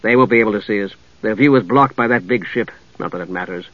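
A man voicing a proud, impatient knight with a curt, gruff manner in a fantasy audiobook. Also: lowish voice, quick pace, no room sound, muffled recording, voice pushed down, close to an everyday voice. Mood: matter-of-fact.

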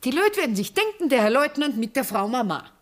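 An actress in a 1960s German radio play, playing an emotional, wounded middle-aged former lover with an Austrian accent and theatrical delivery. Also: female voice, high-pitched, very animated, fairly quick. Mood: social, brisk. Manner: dramatic, swinging between hush and outburst.

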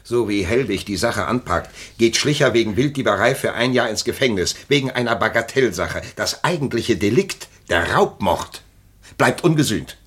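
An actor playing an earnest, frustrated public prosecutor in a German historical crime radio drama, speaking formally and insistently. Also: male voice, on the low side, expressive, moderate pace, fairly bright tone, slightly rough. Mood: grave, pressing.

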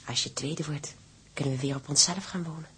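A young sailor's wife in a radio drama. She is gentle and devoted, and her voice sits low with a strongly veiled, husky tone. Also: brisk, full character voice. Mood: hopeful, tender. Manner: tender, domestic.

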